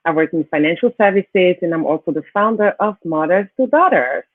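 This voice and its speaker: Low-voiced woman on a thin, narrow line. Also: animated, brisk, no room sound. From Cameroon. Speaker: interview guest, financial services professional. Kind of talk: conversational interview answers. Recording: narrow, thin call-like sound.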